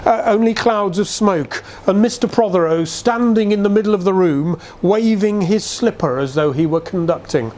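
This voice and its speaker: A man reading a literary story aloud in a dramatic, measured storytelling manner. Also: high-pitched, a little mellow. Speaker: reader. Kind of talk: expressive literary reading of a nostalgic Christmas story.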